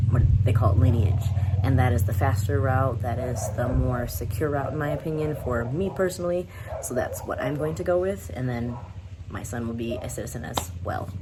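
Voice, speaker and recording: A woman's voice: a bass voice, very noisy. A young woman from the United States talking candidly to her viewers in a casual vlog, rambling and often laughing. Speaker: vlogger. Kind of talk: casual talk to camera.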